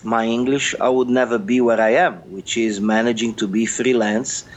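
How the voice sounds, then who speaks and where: A middle-aged man with a Brazilian Portuguese accent, a journalist answering interview questions in a halting, earnest way, often repeating words as he searches. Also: medium pitch, steady tone. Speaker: journalist guest.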